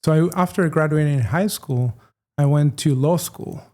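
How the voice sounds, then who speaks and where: Mellow-voiced Chilean man, native Spanish speaker. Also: on the high side, moderate pace. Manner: thoughtful, self-deprecating, laughs easily. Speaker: clinical psychologist guest. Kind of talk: casual interview answers.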